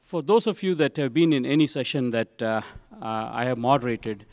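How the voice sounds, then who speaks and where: A man introduces panelists at a conference session, taking his time and filling pauses with little hesitations. His voice sits in an ordinary middle pitch. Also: no room sound, studio quality. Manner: relaxed, halting delivery with many fillers. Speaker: panel moderator. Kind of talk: live panel introductions to an audience.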